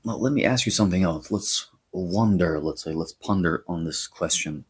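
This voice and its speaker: Husky low-voiced man. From Greece. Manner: measured, explanatory, opinionated asides. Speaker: commentator. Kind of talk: scripted commentary to camera.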